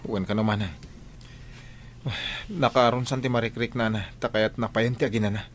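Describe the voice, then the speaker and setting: An earnest male boss and dutiful son , played by a man in a radio play. His voice is mid-pitched and his delivery measured and sincere. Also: fairly even intonation, soft background music, close to an everyday voice. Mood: concerned. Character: earnest adult son and boss, lovestruck suitor. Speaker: actor. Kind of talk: Ilocano radio drama acting.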